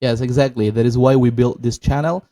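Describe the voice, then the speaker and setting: Mellow indonesian guy cohosting a chill english podcast, indonesian first language. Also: mid-range voice, fairly even intonation, brisk. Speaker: podcast co-host. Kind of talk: casual chat.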